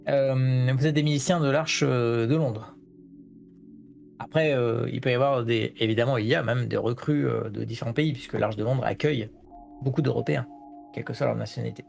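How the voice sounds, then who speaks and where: A man narrating a tabletop role-playing game as game master, pausing often with fillers between phrases. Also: mid-range voice, steady tone, gravelly, darkish tone, faint music behind.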